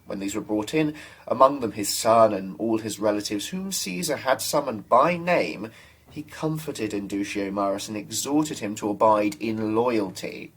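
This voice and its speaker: A young man giving a formal, measured dramatic reading of an ancient military history. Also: on the low side, husky, rough recording. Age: young adult. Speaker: student reader.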